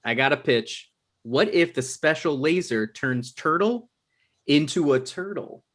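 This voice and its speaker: A slightly high-pitched man acting as an eager, hapless would-be screenwriter. He reads in a casual, everyday comedy table-read manner. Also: lively intonation, a little slow, a bit bright. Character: eager, hapless aspiring TV writer living with his mother.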